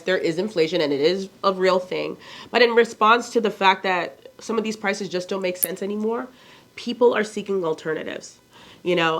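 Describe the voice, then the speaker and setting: A woman's voice: mid-range voice, a bit bright. A woman in her forties with a Nigerian accent, chatting casually to camera as a fashion vlogger, blunt and candid, asking herself rhetorical questions. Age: 40s.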